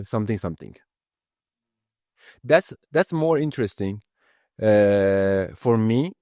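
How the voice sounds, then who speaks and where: A man's voice: lowish voice, warm tone, clear, resonant tone, no echo, clean studio sound. A man in his thirties whose first language is Persian, answering interview questions in a casual, earnest way and often restarting his phrases. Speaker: guest, IT lead.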